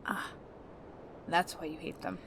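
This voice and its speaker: A woman's voice: lowish voice, little pitch movement, measured pace, gravelly, fairly bright tone, a little hiss or hum. A woman acting as an injured, stern spaceship captain in an audio drama, guarded and suspicious in her delivery.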